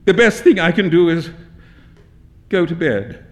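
Man playing sulky, flustered young gentleman, high-pitched. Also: animated, measured pace. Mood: embarrassed gloom. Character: naive, sulky, embarrassed young English gentleman. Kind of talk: dramatic literary story reading.

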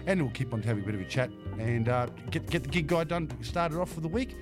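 A man hosting a community radio show, upbeat and chatty, his voice croaky from a cold. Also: a little high, expressive, fast. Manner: upbeat, chatty, repeats phrases. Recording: music bed behind the voice.

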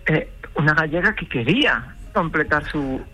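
A woman's voice: deep voice, lively intonation, moderate pace, pushed and bright, husky, faint music behind. A woman giving personal testimony as a radio interview guest, telling her story animatedly and acting out quoted dialogue. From Galicia, Spain. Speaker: interview guest, truck driver.

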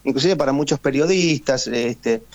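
A man's voice: medium pitch, moderate pace, a little mellow, no room sound. Argentine guy on a muffled dry line, hesitant, lots of ums and tag questions. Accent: Argentine Spanish. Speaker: guest, war veteran and writer; radio interview guest. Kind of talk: casual phone interview.

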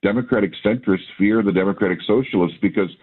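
A man's voice: on the low side, fast, a little hiss or hum. A man as a guest commentator on a radio interview, speaking assertively with wry remarks. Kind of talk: phone-in interview. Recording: phone line.

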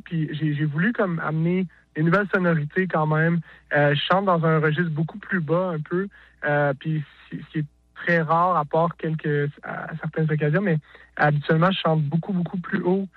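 A man's voice: on the high side, steady tone, moderate pace, no echo, phone-quality sound. A male singer-songwriter with a Québécois French accent, talking casually in an interview, hesitant with many restarts and fillers.

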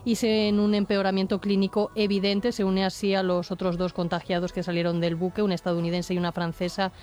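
A woman's voice: medium pitch, level tone, brisk, a little mellow. A woman with a Castilian Spanish accent, a correspondent reading the news from Madrid. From Spain. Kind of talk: news report read on air.

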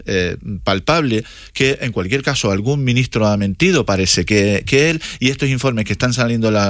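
A man speaking as a party politician in a televised debate, repeating himself and pausing on fillers. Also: medium pitch, fairly bright tone, dry recording, studio quality. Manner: hesitant, repetitive, with fillers.

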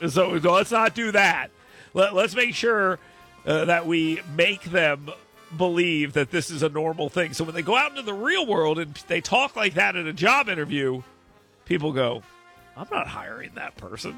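A man's voice: high voice, lively intonation, normal speed, pushed and bright, faint music behind. A man hosting a podcast, joking bluntly in casual chat. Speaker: podcast host.

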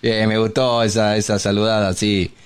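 A man's voice: on the low side, flat delivery, moderate pace, clean tone. A man in his late forties with an Argentine accent, hosting a casual, jokey radio phone-in. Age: late 40s. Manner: jokey, colloquial. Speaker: radio host.

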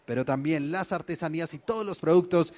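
A Colombian man reads out event announcements as a co-host. His voice is high-pitched and presentational. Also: brisk, heavy background noise.

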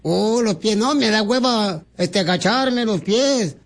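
A man plays a very high-voiced, silly Mexican guy on a prank phone call. He is naive and flirty, full of slangy, deadpan wordplay. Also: slow pace. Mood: silly, cheeky.

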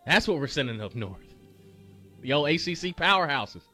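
A man co-hosting a casual sports show, animated and quick to react, his pitch swinging widely and sitting a bit high. The mic is close and dry, with faint music underneath.

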